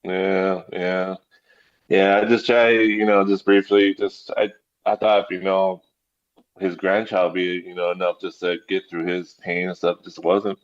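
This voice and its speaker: A man with a low voice speaks as a guest on a lo-fi call with dulled sound. He is subdued and hesitant, talking casually and personally and often echoing his own short phrases. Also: little pitch movement, a little slow. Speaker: guest on an online community call. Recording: dull, lo-fi call audio.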